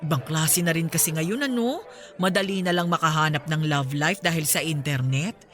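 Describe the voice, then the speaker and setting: A woman playing a warm, easily astonished middle-aged mother chatting with her best friend in a radio drama. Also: deep voice, moderate pace. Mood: nostalgic, musing. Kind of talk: conversational radio drama acting.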